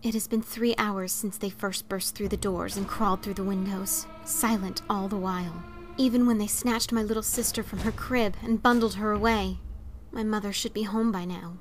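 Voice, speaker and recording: A woman's voice: mid-range voice, fairly even intonation, normal speed. A woman giving a dramatic reading of short horror stories as narrator. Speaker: story reader. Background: faint music.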